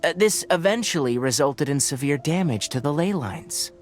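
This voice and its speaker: A man as a measured young scholar over music. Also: on the high side, animated, natural voice. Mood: thoughtful, measured. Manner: measured, explanatory. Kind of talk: video game dialogue.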